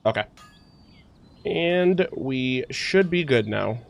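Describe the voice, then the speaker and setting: A man's voice: mid-range voice, expressive, slow pace, creaky. A man streaming, chatting casually and rambling as he thinks aloud to his viewers. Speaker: livestreamer, host. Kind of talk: casual livestream chat. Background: music.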